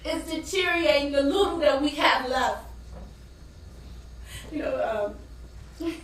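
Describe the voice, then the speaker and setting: Embittered, struggling young-sounding mother played by a stage actress: high, slow, dramatic delivery with emotional swells. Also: distant mic. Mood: sorrowful. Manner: emotive, dramatic, with long pauses and sudden swells. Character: weary, embittered working-class wife and struggling mother. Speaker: stage actor. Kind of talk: theatrical stage monologue. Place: indoor stage performance space. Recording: roomy echoing theater from afar, faint noise.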